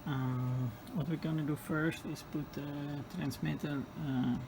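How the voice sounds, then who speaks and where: A man in his forties with a Dutch accent, repairing an old radio and thinking aloud step by step with hesitant pauses. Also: fairly high pitch, steady tone, creaky, noisy background. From Netherlands. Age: 40s. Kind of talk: hands-on repair vlog.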